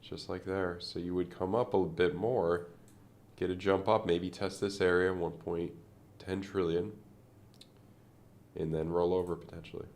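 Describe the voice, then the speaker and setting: A man's voice: low voice, flat delivery, measured pace, a little mellow. A man streaming live crypto chart commentary, rambling and thinking aloud with many fillers, sounding nasal and congested from a sinus infection.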